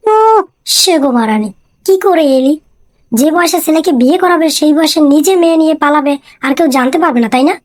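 Woman voicing a high-pitched brash cartoon son. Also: fast, lightly acted. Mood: scornful. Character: brash, foul-mouthed, nosy son, cheeky and indignant. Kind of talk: comic cartoon dialogue. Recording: music behind the voice.

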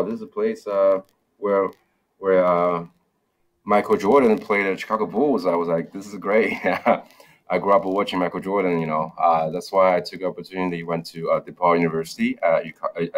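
A man's voice: deep voice, steady tone, normal speed, a bit bright, low-quality recording. A man, a startup founder on a video call, talking hesitantly with many fillers and restarts. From China. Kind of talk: interview over video call. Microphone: video call, webcam.

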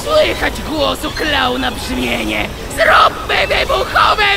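A woman's voice: sits high, wildly swooping pitch, takes it slowly, vocal fry, a loud crowd, full character voice. A woman voicing a manic, gleeful young male cartoon cat who declaims theatrically in a sing-song way. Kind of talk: animated film dub, theatrical rhyming. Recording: loud music behind the voice.

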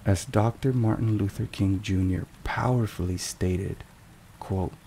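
Very slow, low-voiced man over rain. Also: vocal fry, a little hiss or hum. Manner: slow, deliberate, measured phrasing. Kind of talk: ASMR scripted narration, religious reflection. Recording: steady rain and thunderstorm sounds behind. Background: rain and thunder.

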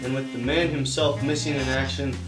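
A man's voice: mid-range voice, level tone, a little fast, lightly acted. A man plays a world-weary noir private eye narrating his own case in a radio play. He sounds roomy and a bit distant, with music playing underneath. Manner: wry, world-weary voice-over. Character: hard-boiled male private detective narrator.

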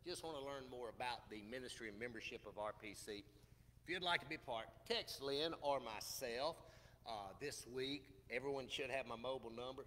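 Folksy Southern pastor in a reverberant church. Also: male voice, mid-range voice. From Mississippi. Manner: folksy, chatty, asks the congregation questions; animated gestures at the pulpit. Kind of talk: church announcements. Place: church sanctuary with congregation. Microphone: pulpit microphone. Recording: reverberant sanctuary with faint room noise.

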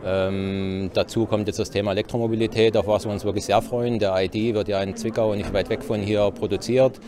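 A man's voice: lowish voice, little pitch movement, a little fast, a crowd in the background. A man in his forties giving unscripted interview answers as a business manager. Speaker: dealership managing director, interviewee. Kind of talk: spontaneous interview talk. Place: car showroom, indoors. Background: music.

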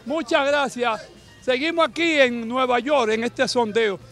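A middle-aged man with a Dominican accent, a street reporter with a handheld mic who briskly stops passersby on a busy sidewalk to ask their opinion. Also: very high voice, steady tone, a bit bright, faint music behind. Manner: brisk, friendly, calls out to passersby. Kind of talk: street vox pop interviews. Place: busy city sidewalk outdoors.

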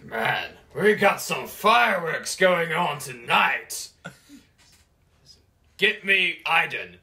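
A man's voice: on the high side, expressive, slow pace, sharp tone, heavily acted. A man improvising a whiny, comically upset military commander in a video game fan dub. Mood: commanding.

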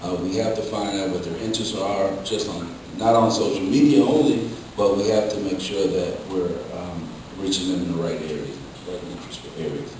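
A man's voice: low-pitched, moderate pace, darkish tone. A man giving practical advice as a panelist at a conference. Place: hotel conference ballroom, recorded from the audience. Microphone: distant camera picking up the room sound. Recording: distant, very echoey room recording, faint noise.

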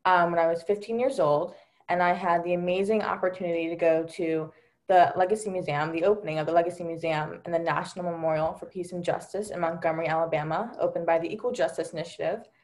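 A woman's voice: lowish voice, steady tone, fast talker, darkish tone. A young woman from Delaware giving an earnest personal account on a video call, with lots of ums and you-knows. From Delaware, USA. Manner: earnest, many fillers like um and you know. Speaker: panelist. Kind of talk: personal account on a virtual panel.

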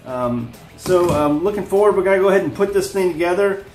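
A man's voice: high voice, animated, fairly quick, a little mellow, soft background music. An American man in his thirties hosting a homesteading vlog, casually explaining a product to viewers. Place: living room with wood floor and large windows. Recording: roomy indoor echo.

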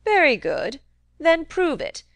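A woman voicing a cantankerous, domineering old country man who talks in a loud, emphatic, wheedling way. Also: high-pitched, wildly swooping pitch, slow pace, gravelly, no echo, pitched above the performer's own voice, heavily acted.

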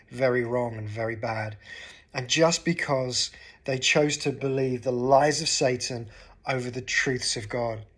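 A man's voice: medium pitch, breathy edge. A man in his forties with an English accent, giving an earnest short devotional talk to camera from a sofa at home, gesturing as he speaks. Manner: earnest, gestures with his hands while talking. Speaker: pastor. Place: living room, seated on a sofa indoors.

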